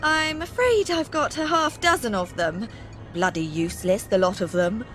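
An actress with a British accent playing an exasperated, gossipy, brisk newspaper editor in a video game. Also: female voice, on the high side, a bit bright, faint music behind, lightly acted.

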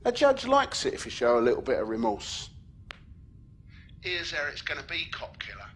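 An actor playing a hard, sneering London man in a crime game, with a gruff, taunting manner. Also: a little high, huge pitch swings, normal speed, a bit bright, full character voice. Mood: menacing.

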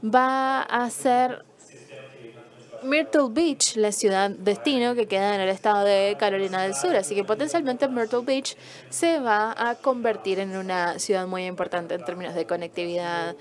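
A woman's voice: medium pitch, a little slow. A woman interpreting a conference talk as it is given, explaining step by step and quizzing the audience.

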